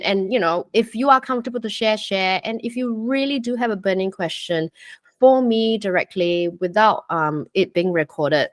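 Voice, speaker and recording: A woman's voice: fairly low pitch, no echo. A woman in her forties from Singapore, a health coach giving a live online presentation on a video call in an earnest, chatty way, full of fillers and "okay?" check-ins. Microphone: computer mic on a video call.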